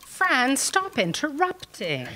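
A woman's voice: sits high, huge pitch swings, takes it slowly, sharp tone, a little hiss or hum, exaggerated voice. A woman playing an impatient, imperious editor boss in a staged comedy audio drama, with a dry, commanding delivery. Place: theatre stage. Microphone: stand microphone.

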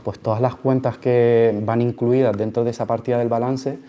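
A man's voice: medium pitch, flat delivery, normal speed, dark tone, dull audio. A man in his twenties with an accent from Spain, explaining his work to students as a junior auditor on a panel. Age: 20s. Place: large auditorium, panel table.

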